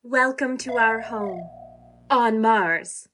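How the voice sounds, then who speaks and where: Martian hostess, actress: gracious, formal, high-pitched, for a comic audio drama. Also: female voice, takes it slowly, noisy background. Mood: welcoming. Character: gracious, welcoming Martian lady. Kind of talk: comic audio play acting.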